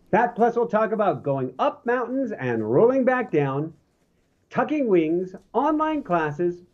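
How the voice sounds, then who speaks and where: A man hosts a casual podcast with a hoarse, smoke-roughened voice. He rambles, restarting sentences and filling gaps with ums. His tone sits mellow and fairly high, and the recording sounds dull and lo-fi. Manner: rambling, with many fillers and restarts. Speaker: host.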